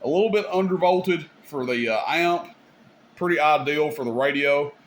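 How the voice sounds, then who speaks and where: A man's voice: fairly high pitch, normal speed, slight background noise. A man hosting a casual, hands-on technical demonstration, speaking informally and directly to viewers in a folksy way. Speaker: video host demonstrating CB radio gear.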